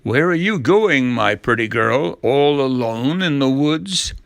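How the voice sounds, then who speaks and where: Man doing a gruff, gravelly big bad wolf. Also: mid-range voice, animated, takes it slowly, no room sound. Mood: sly, polite. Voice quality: gruff, growling. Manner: mock-polite, menacing. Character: big bad wolf, gruff, sly, falsely polite villain.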